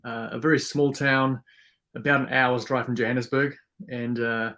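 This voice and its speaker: A man from South Africa whose first language is Afrikaans, talking as a podcast guest in a relaxed, friendly way, with lots of ums and uhs. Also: medium pitch, normal speed. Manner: relaxed and friendly, many fillers. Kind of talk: casual interview chat.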